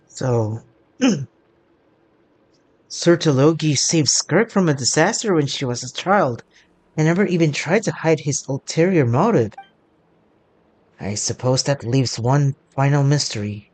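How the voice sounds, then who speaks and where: A woman's voice: low voice, lively intonation, unhurried, long pauses, a little mellow. A woman streaming a story game, commenting and reacting casually as she plays.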